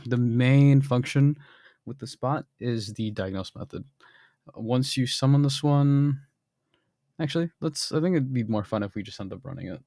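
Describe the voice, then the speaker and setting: A young man casually walking through a software demo, explaining as he goes, with frequent ums and throat clearing. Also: mid-range voice, normal speed, no room sound, studio quality. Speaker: software developer demoing his bot. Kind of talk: screen-recorded software demo.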